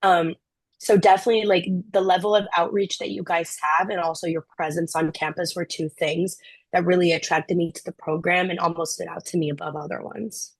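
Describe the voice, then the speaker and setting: A young woman from Brazil, a former intern answering questions in a relaxed chat, full of "like" and "um". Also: lowish voice, a little fast, no echo, low-quality recording. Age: young adult. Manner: chatty, frequent "like" and "um" fillers. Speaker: program alum, student. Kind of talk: casual Q&A answers.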